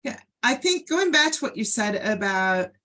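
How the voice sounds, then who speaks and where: A woman with a General American accent, talking in a measured, explanatory way as a co-host in a casual podcast conversation. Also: a little high, sing-song, sharp tone. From United States, Ohio.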